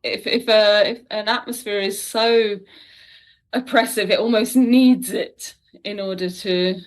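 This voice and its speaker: Woman in casual interview chat, mid-pitched. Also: fairly even intonation, a little slow. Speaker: audio drama writer, interview guest.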